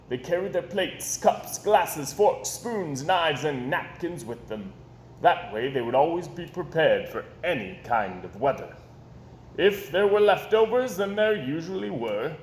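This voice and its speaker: A man reading a children's story aloud in an expressive, animated storytelling style, with dramatic pauses and emphasis. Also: fairly high pitch. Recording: echoey room with background noise.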